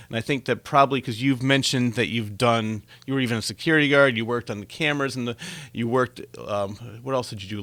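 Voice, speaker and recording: A man's voice: medium pitch, a bit bright, dry recording. A man hosting a conversational interview, speaking to his guest in an encouraging, complimentary way. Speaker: talk show host interviewing a guest.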